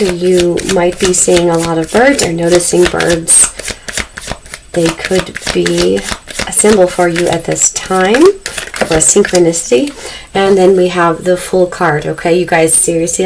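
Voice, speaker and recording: A woman doing a casual tarot reading to camera, a little husky as she is losing her voice, talking softly and calmly in a dim room. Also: fairly low pitch. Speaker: tarot reader. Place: dim room indoors lit by candles. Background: faint noise from loud neighbors upstairs.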